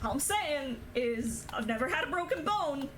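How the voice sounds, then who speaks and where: A woman's voice: high voice, animated, moderate pace, background noise. A young woman streamer casually chatting and telling stories while gaming, laughing often. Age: young adult. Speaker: streamer host.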